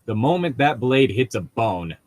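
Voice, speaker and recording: A man's voice: mid-range voice, big pitch swings, moderate pace, darkish tone, no echo. A man in casual, joking conversation among friends.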